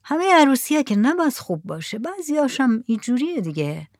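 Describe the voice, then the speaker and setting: A woman's voice: high voice, sing-song, no echo, studio quality, pitched above the performer's own voice, full character voice. A woman reading the lines of a poor, resigned village drummer.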